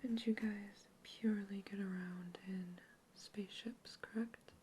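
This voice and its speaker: A woman whispering as a gentle researcher. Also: medium pitch, steady tone, measured pace, a little hiss or hum, close to an everyday voice. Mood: curious. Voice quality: soft whisper throughout. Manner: gentle, curious, reassuring questions. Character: polite human woman researcher questioning a captive alien. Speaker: ASMR roleplay creator. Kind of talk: whispered ASMR roleplay.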